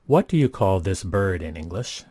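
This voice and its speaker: A man reading English example sentences for a lesson, each one said twice in an even, deliberate way. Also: deep voice, husky.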